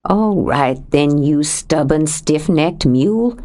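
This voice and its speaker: A woman voicing a steady, plainspoken woman who talks calmly and firmly in an audiobook. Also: sits low, slow. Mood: earnest. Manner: calm and firm, gently blunt. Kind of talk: audiobook character reading.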